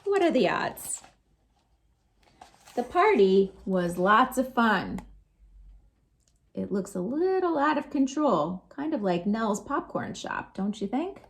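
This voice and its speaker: A woman with a fairly high voice hosts a children's storytime. She reads at a leisurely pace with big, swooping intonation. Her manner is sing-song and expressive, with chatty asides to the kids. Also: slow pace, pauses often, a little hiss or hum. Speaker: children's librarian storytime host. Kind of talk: animated picture-book read-aloud for children.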